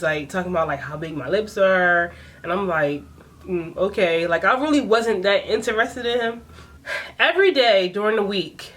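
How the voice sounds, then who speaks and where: Chatty woman in her twenties telling a story. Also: medium pitch. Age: 20s. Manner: animated, laughing, chatty. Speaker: vlogger. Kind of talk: casual story time.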